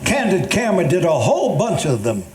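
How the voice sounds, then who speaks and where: An older man in an amateur play reading, playing a nostalgic trivia lover with a musing, deliberate delivery. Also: sits high, wildly swooping pitch, a little mellow. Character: nostalgic older man, eager trivia-loving film buff. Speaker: amateur readers theatre performer. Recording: echoey room, faint background noise.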